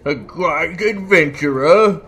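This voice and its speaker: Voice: medium pitch, slow pace, fairly bright tone. A child acting a cheeky, impatient, sarcastic character in an amateur fantasy radio play. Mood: teasing.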